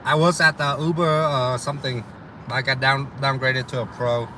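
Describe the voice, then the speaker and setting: A man's voice: medium pitch, flat delivery, moderate pace, background noise. A young man with a slight Vietnamese accent, chatting casually with live viewers about delivery driving in a loose, rambling way full of ums and uhs. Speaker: delivery driver streaming to viewers. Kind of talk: casual live chat reading viewer comments.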